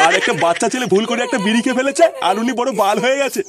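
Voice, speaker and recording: A man's voice: extremely high pitch, very fast. A man voicing a cranky, foul-mouthed grandfather who scolds and grumbles in an amateur comedy cartoon. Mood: scolding.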